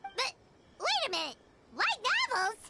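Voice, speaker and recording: A woman voicing a tiny, feisty childlike fairy companion in a video game, squeaky and brash. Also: huge pitch swings, a little slow, gravelly, heavy background noise, full character voice. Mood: puzzled. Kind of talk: video game character acting.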